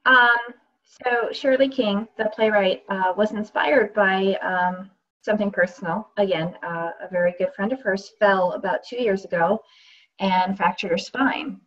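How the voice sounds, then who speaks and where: A woman's voice: mid-range voice, unhurried, fairly bright tone. A woman chatting casually as the guest reader on a show. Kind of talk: casual conversation. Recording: dull, lo-fi sound.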